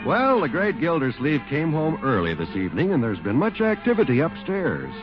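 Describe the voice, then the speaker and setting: A man's voice: a little high, wildly swooping pitch, normal speed. A male radio announcer giving theatrical scripted narration. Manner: smooth, theatrical announcer delivery. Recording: dull 1940s radio recording with music.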